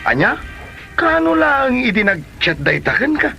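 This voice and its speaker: Man acting an exasperated friend, bright and gravelly. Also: extremely high pitch, sing-song, measured pace, muffled recording, faint music behind, exaggerated voice. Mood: scolding. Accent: Filipino. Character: exasperated, gossipy friend who scolds and teases. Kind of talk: Ilocano comedy radio drama acting.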